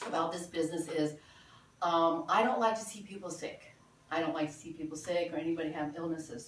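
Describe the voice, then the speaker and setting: Older woman, halting talk in an echoey room. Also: lowish voice, recorded from a distance, a little hiss or hum. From Philippines. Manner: halting, many fillers, earnest. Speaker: presenter at a sales meeting. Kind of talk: informal presentation. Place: meeting room.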